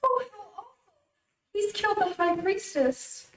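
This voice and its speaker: A woman's voice: very high voice, lively intonation, a little slow. A woman playing a distressed, plaintive woman in an old-time radio play, with melodramatic delivery. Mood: distraught. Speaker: amateur radio play actor. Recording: echoey, distant streamed stage audio.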